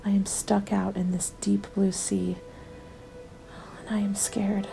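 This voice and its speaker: A woman narrating a scripted first-person ASMR story in a soft, measured, often whispery delivery. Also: medium pitch, little pitch movement, gravelly, rough recording. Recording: background music under the voice. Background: music.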